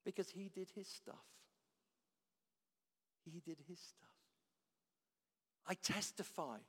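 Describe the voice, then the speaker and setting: A man's voice: sits high, fairly even intonation, takes it slowly, breathy edge. Preacher man in echoing hall. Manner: dynamic, swings from loud declarations to hushed tones. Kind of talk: sermon. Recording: long hall reverb.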